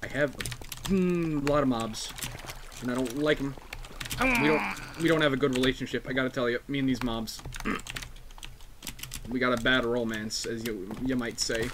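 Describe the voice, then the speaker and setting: A man's voice: medium pitch, moderate pace, pauses often, noisy background. A young man playing a game with friends, joking and swearing in casual chat. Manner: joking, crude, playful. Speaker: gameplay recorder.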